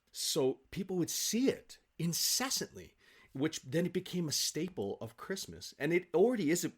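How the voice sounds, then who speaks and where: A man in an interview, telling anecdotes with nerdy, animated enthusiasm. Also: mid-range voice, husky. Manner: enthusiastic, self-confessed film nerd. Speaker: guest, stage actor.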